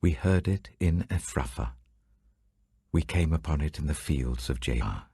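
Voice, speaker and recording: An older man reading scripture aloud in a measured, solemn audiobook style, lifting his voice for quoted proclamations, recorded close in a studio. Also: rumbling low, expressive, warm tone, breathy edge, dry recording. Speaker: Bible reader.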